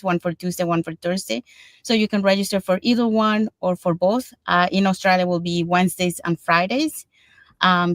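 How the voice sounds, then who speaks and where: A woman's voice: on the low side, no echo. A woman from Panama hosting an online business panel show, explaining things in a chatty way. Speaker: host.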